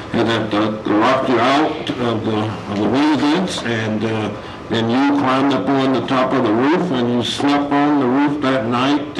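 A man on a parole board asking questions at a hearing, formal and hesitant, full of fillers and frequent use of sir. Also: medium pitch. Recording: echoey room with loud noise.

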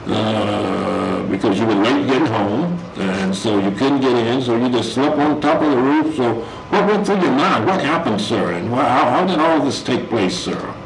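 A man's voice: medium pitch, animated, moderate pace, creaky, few pauses. A man on a parole board asking questions at a hearing, formal and hesitant, full of fillers and frequent use of sir. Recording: echoey room with loud noise.

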